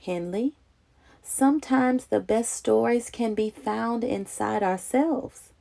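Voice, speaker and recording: A woman voicing a gentle, comforting mother in a children's storybook, warm, soft and reassuring. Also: on the high side, takes it slowly, gravelly. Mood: tender.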